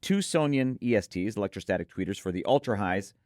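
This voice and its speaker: A man's voice: on the low side, lively intonation, fast, darkish tone, no room sound, clean studio sound. A man co-hosting a product preview, speaking emphatically and effusively and repeating points for emphasis. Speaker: audio gear reviewer and co-host. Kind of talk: enthusiastic product preview talk.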